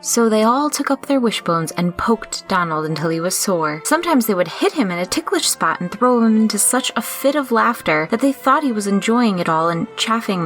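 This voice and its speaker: A woman narrator tells a children's story for an audio drama. Her mid-pitched voice has a marked creak, with faint music behind it. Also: fairly bright tone.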